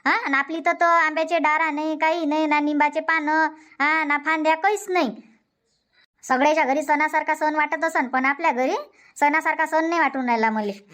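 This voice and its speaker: A woman playing a high-pitched, nagging village housewife. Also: steady tone, a little fast, a bit bright. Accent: Varhadi Marathi (Vidarbha). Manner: scolding, repetitive complaining. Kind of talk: Marathi comedy cartoon dubbing.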